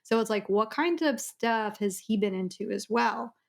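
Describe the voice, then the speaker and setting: A woman's voice: mid-range voice, normal speed, creaky. A woman co-hosting a casual book discussion in a chatty, loosely strung way, peppered with "like". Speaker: podcast co-host.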